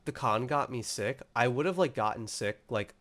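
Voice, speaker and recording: A man's voice: mid-range voice, animated, normal speed, fairly bright tone. Congested young man rambling casually. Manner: rambling, heavy use of filler "like". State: congested from a cold. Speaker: podcast co-host. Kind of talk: casual chat.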